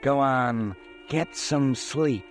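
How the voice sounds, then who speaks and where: A man's voice: mid-range voice, very slow, music in the background. A man playing a mocking, ominous narrator who goads the listener in the second person, in a 1940s radio drama. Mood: taunting. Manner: taunting, goading, second-person.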